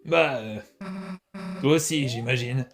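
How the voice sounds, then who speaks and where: A man voicing a brash, tough teenage monster girl with a soft side in an amateur video game comic dub, gruff and offhand. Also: medium pitch, takes it slowly, a little hiss or hum. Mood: awkward, gruff.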